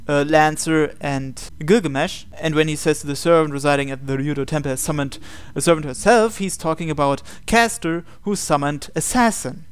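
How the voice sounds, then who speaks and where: Clear, higher-voiced man reading aloud. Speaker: game playthrough host. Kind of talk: reading visual novel text aloud with commentary.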